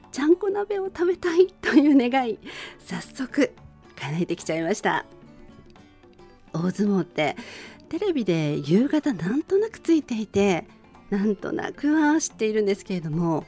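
Lively woman hosting bedtime radio. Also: medium pitch. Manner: polite, listener-addressing, conversational. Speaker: radio show host and band vocalist. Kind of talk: gentle bedtime radio chat.

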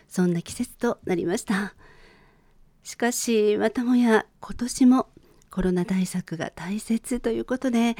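Bright middle-aged woman hosting radio. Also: medium pitch, dry recording. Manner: polite, formal phrasing with personal anecdotes. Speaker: radio show host. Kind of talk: gentle monologue radio talk. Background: faint music.

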